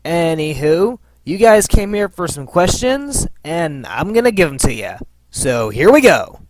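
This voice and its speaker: A man's voice: a little high, very animated, unhurried, a little mellow, a little hiss or hum. A man hosting a fan Q and A with scripted, playful, theatrical announcing. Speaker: host of a fan Q and A.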